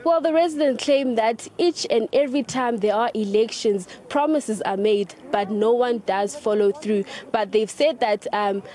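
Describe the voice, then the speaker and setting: A young woman with a South African accent reports live from outdoors. Her voice is fairly high and her delivery is halting and hesitant, spoken close into a handheld microphone. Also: dark tone. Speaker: field news reporter. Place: outdoors in open grassland.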